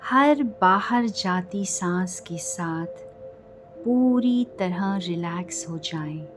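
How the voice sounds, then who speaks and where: An Indian woman leading a guided meditation in a gentle, unhurried manner, leaving long pauses between phrases. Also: on the low side, animated. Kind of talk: guided meditation in a slow, soothing delivery. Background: music.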